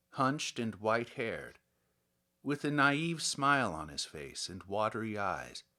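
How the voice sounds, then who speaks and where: A man reads a literary story aloud in a bright, crisp-edged voice. His pitch is mid-range and moves freely up and down. The delivery is that of an expressive audiobook storyteller. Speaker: audiobook reader.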